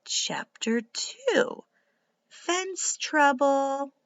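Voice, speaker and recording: A woman reading a children's book aloud like a teacher, in an expressive, deliberate and instructive way. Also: high voice, no room sound, lo-fi sound. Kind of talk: animated read-aloud narration for young children.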